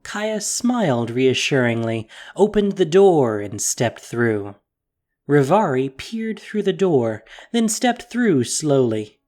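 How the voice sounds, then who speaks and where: A man reading scripted fantasy story narration with expressive delivery. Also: fairly high pitch, takes it slowly, soft background music.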